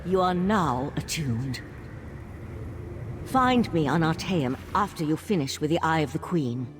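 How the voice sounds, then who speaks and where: An actor playing a calm, earnest male mage of a secretive magic order, in a video game. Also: fairly high pitch, measured pace, background noise.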